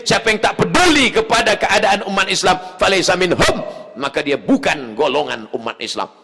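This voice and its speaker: A male preacher giving a mosque sermon, swinging from quiet asides to loud shouted appeals. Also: high voice, big-room sound, background noise.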